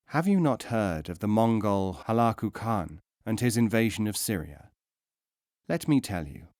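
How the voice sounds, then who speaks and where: A man reading philosophical teaching stories as a scripted audiobook narration, in a measured, emphatic, instructive way. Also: fairly low pitch, darkish tone, no echo, clean studio sound.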